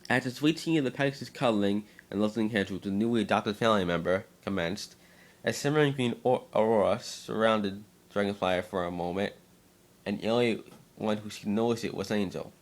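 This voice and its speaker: A man's voice: lowish voice, brisk. A man narrating a scripted story as an amateur dramatic reading, sometimes stumbling over and repeating words.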